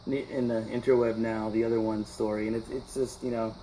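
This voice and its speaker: A man in his fifties chatting casually in a radio interview, reflective and halting, often restarting his phrases as he thinks aloud. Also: mid-range voice, little pitch movement, mellow, a little hiss or hum, low-quality recording. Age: 50s. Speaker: musician, interview guest. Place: radio broadcast session.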